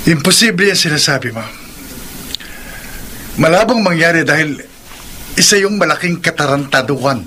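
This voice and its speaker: A man's voice: fairly high pitch, animated, a little slow, pauses often, bright, forceful tone, slight background noise. A man with a Filipino accent playing a cornered, sardonic married man in a radio drama, with dramatic delivery. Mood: sardonic, scornful.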